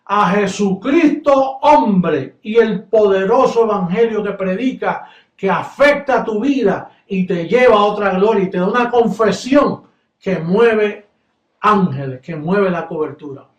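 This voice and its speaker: Man, Puerto Rican accent, preacher, high voice, echoey room. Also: a little slow. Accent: Puerto Rican Spanish. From Puerto Rico. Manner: fervent preaching, then relaxed chatty talk. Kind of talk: sermon closing and farewell.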